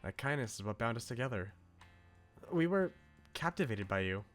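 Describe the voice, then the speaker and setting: An earnest, devoted young woman in a visual novel voiced by a man in a mid-pitched male voice. She speaks emphatically, confiding in her leader. Soft game music runs underneath. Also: animated, normal speed, natural voice. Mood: admiring, earnest. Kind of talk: reading visual novel dialogue aloud in character.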